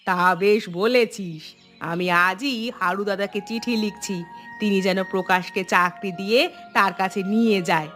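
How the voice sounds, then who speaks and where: A woman's voice: medium pitch, moderate pace, a bit bright, faint music behind, close to an everyday voice. A woman voicing a scolding, scheming older village mother-in-law in a moral-tale cartoon. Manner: grumbling, scolding.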